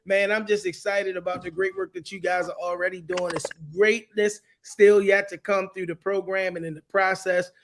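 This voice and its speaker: A man's voice: high-pitched, flat delivery, normal speed, few pauses, fairly bright tone. A man hosting a live-stream show, talking in a hyped, slangy, effusive way. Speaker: show host. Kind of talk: casual live-stream chat.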